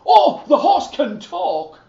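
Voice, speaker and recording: A man voicing an excitable male soldier in a fairy tale, in a high, shouting voice. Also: extremely slow, very echoey, distant mic, lo-fi sound, exaggerated voice. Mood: excited.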